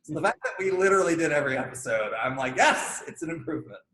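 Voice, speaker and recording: Animated, jokey man in an echoey room. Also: fairly high pitch, quick pace, slight background noise. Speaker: podcast co-host. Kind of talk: casual chat.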